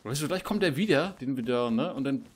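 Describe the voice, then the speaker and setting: A man's voice: medium pitch, lively intonation, fairly quick, breathy edge. A man chatting casually while playing a survival game, teasing himself and his teammate with frequent tag questions. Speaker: gamer streamer. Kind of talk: casual gameplay chat.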